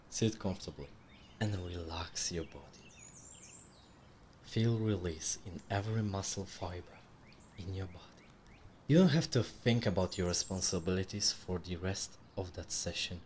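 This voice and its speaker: A man narrating a guided meditation in a measured, soothing, instructive way with long pauses between phrases. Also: low voice, a little hiss or hum. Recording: clearly audible background ambience with birdsong. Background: birdsong and nature ambience.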